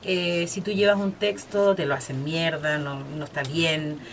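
A woman's voice: low-pitched, steady tone, measured pace, a little hiss or hum. A young woman with a Chilean accent hosting a radio talk show, chatting casually with guests, full of fillers and restarts. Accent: Chilean Spanish. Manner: hesitant, many fillers and restarts. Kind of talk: casual interview chat.

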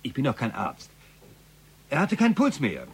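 An actor playing a nervous, defensive killer who evasively excuses himself in a radio play. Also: male voice, medium pitch, wildly swooping pitch, breathy edge, full character voice. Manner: hurried, evasive.

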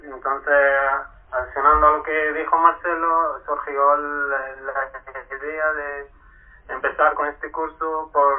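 Man, tinny phone sound. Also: mid-range voice. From Palestine. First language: Arabic. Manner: hesitant, many restarts and fillers. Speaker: guest, Arabic teacher. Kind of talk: radio interview.

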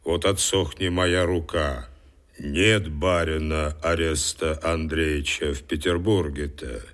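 A nosy, immovable doorman in a period radio drama. His voice sits remarkably low and he drags his words out slowly, with a folksy, oath-peppered way of talking. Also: steady tone, a bit bright, heavily acted. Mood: stubborn refusal. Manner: slow folksy talk peppered with oaths. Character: stubborn, gossipy servant doorman in old Petersburg. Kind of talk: Russian radio drama acting.

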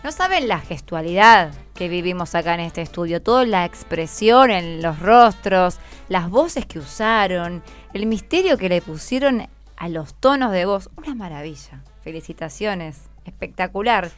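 A woman's voice: fairly low pitch, lively intonation, moderate pace, soft background music. A woman with an Argentine accent hosts radio, chatting casually and effusively as she encourages young guests. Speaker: radio host. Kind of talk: casual, encouraging interview with schoolchildren. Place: radio studio.

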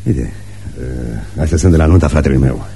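A dark, low male voice plays a raffish ex-soldier fiancé in a radio drama. He is gravelly, offhand and flirtatious, always sly and teasing. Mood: offhand, amused. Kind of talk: radio theatre acting.

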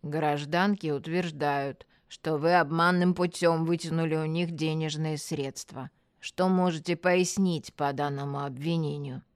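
A gruff, worn-out policeman, put on by a woman narrator talks in curt official phrases. He is matter-of-fact and short on patience, in a dry storybook recording. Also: low-pitched, animated, slow, warm tone, no echo, voice pushed down, exaggerated voice. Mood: weary, official. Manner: curt, matter-of-fact, barking when annoyed.

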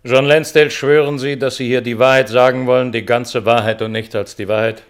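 A man's voice: mid-range voice, fairly even intonation, fairly quick, lightly acted. An actor playing a courteous, businesslike chairman who runs a hearing in a radio play. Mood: official. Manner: official, orderly, courteous. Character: formal, businesslike male chairman of a security hearing.

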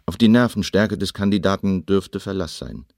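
A secret agent gives a surveillance report in a radio drama. The voice is fairly low and dry in sound, the manner terse and wryly matter-of-fact. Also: male voice, moderate pace, gravelly, darkish tone, dry recording. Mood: terse, watchful. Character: watchful, wry male secret agent reporting on recruit.

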